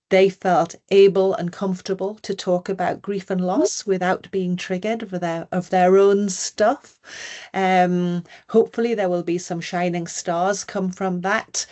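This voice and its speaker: A woman's voice: fairly low pitch, dark tone. A woman presenting on a webinar as a grief educator, talking in a conversational way with frequent fillers. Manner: conversational, many fillers and restarts. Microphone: video call.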